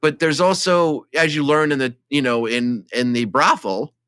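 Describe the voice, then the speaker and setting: A man co-hosting a podcast in casual film discussion, joking and jumping in with an animated delivery. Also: medium pitch, moderate pace, clear, resonant tone, no echo, clean studio sound. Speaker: podcast co-host.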